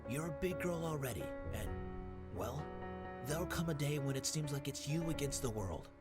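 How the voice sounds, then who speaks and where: Brief: woman playing a kind old grandpa, lively swooping lines, wise and gentle. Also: deep voice, normal speed, over loud music, pitched below the performer's own voice. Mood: earnest. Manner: soft reassuring storytelling delivery.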